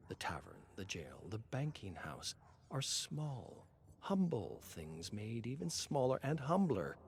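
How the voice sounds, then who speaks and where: A man narrating a scripted tale in a dramatic, brooding, theatrical way, often dropping into hushed tones. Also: fairly low pitch, a little hiss or hum.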